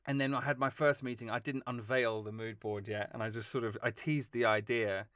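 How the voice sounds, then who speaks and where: A man talking as a film composer guest in a casual interview, telling long, lively stories and laughing along the way. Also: mid-range voice, a little fast, no room sound, clean studio sound. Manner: animated, rambling storytelling with laughs.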